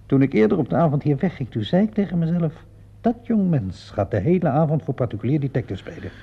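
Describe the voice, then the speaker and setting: An actor playing a sardonic, probing police inspector in a radio play, drawling ironic questions with small interjections. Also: male voice, on the high side, very animated, fast talker, husky, heavily acted. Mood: mocking, stern. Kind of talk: radio play acting in Dutch. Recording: dull lo-fi radio play recording.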